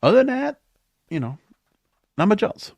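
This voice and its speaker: A man's voice: sits high, very animated, moderate pace, creaky, darkish tone, no echo. A man hosting a podcast alone in a casual, conversational, rambling way, as if thinking aloud. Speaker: podcast host. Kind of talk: casual solo monologue. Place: home or small studio.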